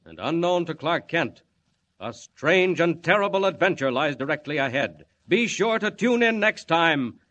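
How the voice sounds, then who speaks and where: A man's voice: on the high side, lively intonation, moderate pace, a bit bright. A man narrating a 1940s radio serial in a dramatic, urgent announcer manner.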